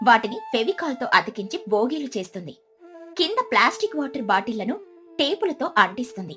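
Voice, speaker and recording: A woman narrating a scripted children's story in an expressive storytelling manner. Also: fairly high pitch, brisk, soft background music.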